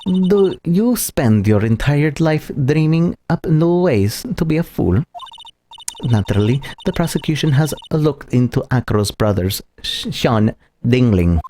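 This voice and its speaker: A man's voice: medium pitch, lively intonation, a little slow, warm tone, slight background noise, voice pushed up, close to an everyday voice. A man voicing an arrogant, condescending male prosecutor in a courtroom video game, with theatrical delivery. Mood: dismissive. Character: foppish, arrogant male prosecutor sneering at a rival lawyer.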